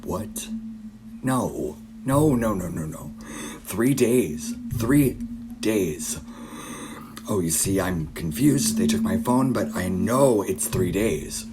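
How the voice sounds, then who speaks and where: A man playing a distraught, obsessive middle-aged father in an audio drama, haunted, halting and repeating himself. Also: low-pitched, lively intonation, music in the background, low-quality recording. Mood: angry, frantic. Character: distraught obsessive father, a searcher recording his nightmare. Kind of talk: audio drama monologue and dialogue.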